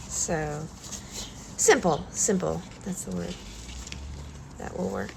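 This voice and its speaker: A woman speaks in a low-pitched, slow, soft-spoken way, with the slightly stuffy nose of a cold. She talks hesitantly with many pauses and fillers, like a calm art vlogger showing her work. Steady background noise sits behind her voice. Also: gravelly. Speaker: collage artist vlogger. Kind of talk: calm art-demonstration vlog.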